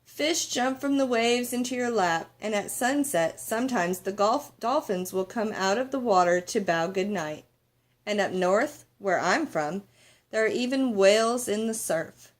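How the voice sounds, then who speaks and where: A woman's voice: medium pitch, a little slow, natural voice. Woman playing a tender, poetic old grandmother. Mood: dreamy, nostalgic. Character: loving old grandmother, dreamy and poetic storyteller.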